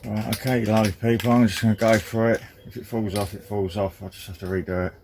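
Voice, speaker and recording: A man with a croaky voice casually narrating a car repair job, chatty and self-deprecating, calling his viewers lovely people. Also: on the low side, little pitch movement, fast, noisy background. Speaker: DIY car-repair vlogger.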